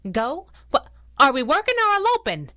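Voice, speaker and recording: Sassy, lively 1930s heroine sidekick. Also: female voice, high voice, moderate pace, exaggerated voice. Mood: flirtatious, playful. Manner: wisecracking, sassy. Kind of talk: 1930s radio drama acting.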